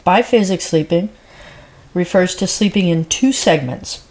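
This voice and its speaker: A woman's voice: fairly low pitch, a little slow, darkish tone. A woman hosting a casual solo podcast, a little stuffy from a head cold, chatty and rambling with lots of fillers. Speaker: podcast host.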